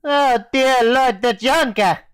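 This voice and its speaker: A man's voice: extremely high pitch, takes it slowly, a bit bright, clean tone, no room sound, clean studio sound, pitched above the performer's own voice, full character voice. A teenage boy voicing a Russian male soldier obsessed with explosive charges, teasing and calling everyone comrade. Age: teens. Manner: teasing, calls listener comrade.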